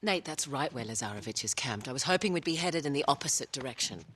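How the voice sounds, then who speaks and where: Sly or adventuress in a game, deep voice, very lively, teasing, faint noise. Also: female voice, fast. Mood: wary. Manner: teasing, cool, sardonic. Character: sly, pragmatic, teasing female treasure hunter. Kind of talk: naturalistic video game cutscene acting.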